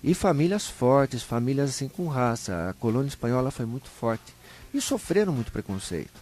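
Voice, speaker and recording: A man with a São Paulo countryside Brazilian accent, telling stories in a colloquial, animated way as a guest in a live interview. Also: mid-range voice, fairly quick. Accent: Brazilian Portuguese, São Paulo interior. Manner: animated storyteller, acts out short dialogues, colloquial. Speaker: interview guest, local historian.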